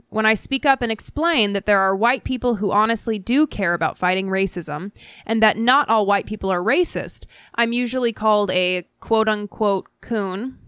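A woman co-hosting a podcast, reading listener messages aloud in a measured, articulate manner. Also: mid-range voice, fairly bright tone, clean tone, no room sound, studio quality. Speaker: podcast co-host.

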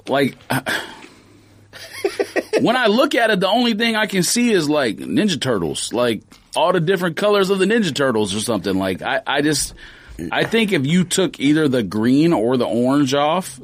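A man's voice: fairly high pitch, very animated, normal speed. A man co-hosting a sneaker podcast, talking casually and informally as he explains his opinions. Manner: informal, slangy.

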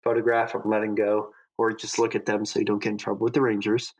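A man's voice: fairly low pitch, monotone, fast, breathy edge, rough recording. A man as a podcast guest in casual chat, telling upbeat, rambling stories full of fillers. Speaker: podcast guest, knife designer.

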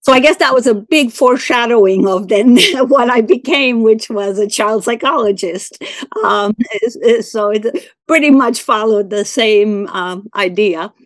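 Older woman, halting friendly talk. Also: fairly high pitch, dry recording. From Venezuela. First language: Spanish. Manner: hesitant, many fillers and restarts, laughs easily. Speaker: interviewee. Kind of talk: conversational oral-history interview.

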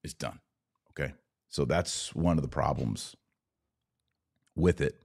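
A man's voice: rumbling low, takes it slowly, creaky, darkish tone, slightly rough. An American man hosting a podcast, giving emphatic, opinionated live commentary on politics and religion in short clipped phrases. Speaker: podcast host.

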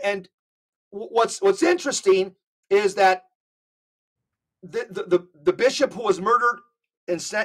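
A man's voice: high voice, a bit bright. A man speaking as a podcast guest in emphatic conversational commentary, earnest and prone to restarting phrases. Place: California.

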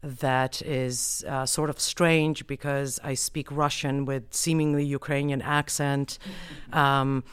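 A woman from the United States speaks as an interview guest, laying out her research in a measured, explanatory way. Her voice is smooth and clear. She is recorded dry and close, with no room sound. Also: very deep voice, flat delivery, dry recording. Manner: measured, explanatory, frequent um and right. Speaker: anthropologist guest. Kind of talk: academic interview answers.

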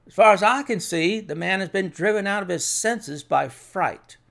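Man voicing a hearty, high-pitched police inspector. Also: expressive, normal speed, vocal fry, a bit bright, heavily acted. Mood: hearty, matter-of-fact. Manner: hearty, emphatic, plain-spoken. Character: smart, hearty male police inspector, brisk and sceptical.

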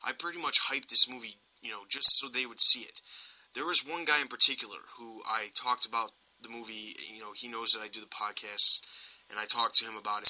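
A man with a sharp, very bright voice at an average pitch. He hosts a podcast and talks film casually, pressing his opinions with emphasis. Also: rough recording. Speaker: podcast host.

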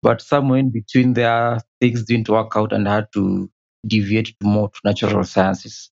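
A man's voice: on the low side, flat delivery, moderate pace, vocal fry, darkish tone, lo-fi sound. A man, answering interview questions hesitantly and often repeating a word as he recalls his past. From Kenya. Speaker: guest.

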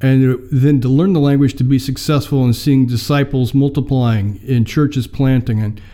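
An American man in his fifties, telling how he came to mission work in a measured, filler-laden interview. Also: mid-range voice, fairly even intonation, dark tone. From United States. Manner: measured storytelling with many fillers. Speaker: mission mobilizer, guest. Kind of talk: personal testimony in an interview.

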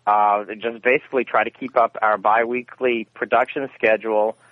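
Interview guest: man, halting and self-effacing, dry phone-quality sound with no room. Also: lowish voice, level tone, dry recording. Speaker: interview guest, radio theatre producer. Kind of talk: casual interview. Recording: very narrow, tinny phone-like line.

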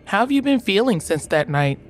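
An actress playing a professional female doctor, calm and matter-of-fact. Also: mid-range voice, a little fast, faint music behind, natural voice. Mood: clinical. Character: brisk, professional female doctor taking blood tests.

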